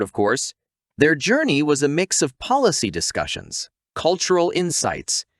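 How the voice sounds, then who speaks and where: Male narrator, scripted recap, wry delivery, animated intonation, dry close sound. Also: a little high, no echo. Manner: wry, tongue-in-cheek storytelling.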